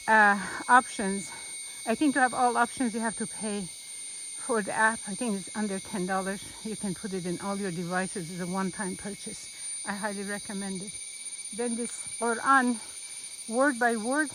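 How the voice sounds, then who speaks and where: A woman's voice: on the high side, a bit bright, slight background noise, poor audio. A woman teaching about the Quran while walking a rainforest trail, speaking earnestly and unhurriedly and repeating phrases for emphasis. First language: not Arabic. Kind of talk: walking vlog talk.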